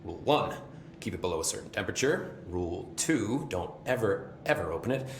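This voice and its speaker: An actor playing a brash young security chief in a video game, chatty, sweary and joking with a slightly flustered manner. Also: male voice, lowish voice, lively intonation, fairly quick, creaky, echoey room, a little hiss or hum. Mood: earnest.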